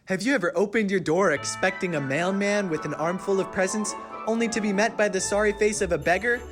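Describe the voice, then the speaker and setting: A man acting as an upbeat, callous pitchman in a parody radio commercial, giving an earnest sales pitch. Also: brisk, music in the background, lightly acted. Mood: salesy.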